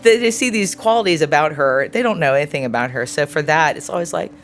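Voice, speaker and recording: A woman in her forties answering an interview question in a thoughtful, earnest way, often pausing to search for words. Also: deep voice, animated, soft background music. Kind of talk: reflective personal interview answer.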